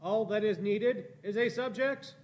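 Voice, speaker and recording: A man's voice: high-pitched, unhurried, a little hiss or hum. A man voicing an obedient, clinical alien machine underling in a sci-fi radio play, clipped and formal.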